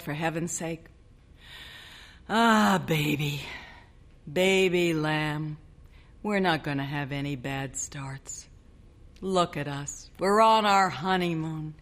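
A young newlywed husband, as a woman reader plays him, trying to smooth things over. He coaxes and soothes while his patience wears thin. The voice stays close to the reader's own. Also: fairly low pitch, measured pace, natural voice. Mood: coaxing. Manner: coaxing, soothing. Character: young newlywed husband, placating, a bit exasperated. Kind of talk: dramatic reading of a short story.

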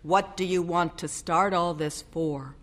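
A woman's voice: on the low side, steady tone, unhurried, lightly acted. A woman voicing a young newlywed husband who soothes and coaxes, a little exasperated, during a short story reading. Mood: coaxing. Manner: coaxing, soothing.

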